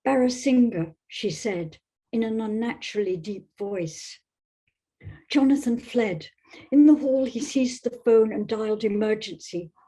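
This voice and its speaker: A woman's voice: on the high side, measured pace, mellow, low-quality recording. A woman, speaking as a guest novelist in thoughtful literary talk and reading. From Scotland.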